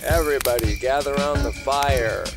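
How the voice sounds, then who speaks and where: A man's voice: mid-range voice, normal speed. A man playing a bossy camp counselor, calling out to campers in a scripted podcast intro. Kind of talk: scripted intro over music. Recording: loud hip hop music behind. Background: loud hip hop music.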